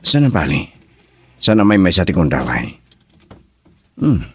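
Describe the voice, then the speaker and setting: A man's voice: low-pitched, very animated, a little slow, husky, no room sound, full character voice. An actor with a Filipino accent playing a considerate young male sweetheart in a radio drama. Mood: understanding.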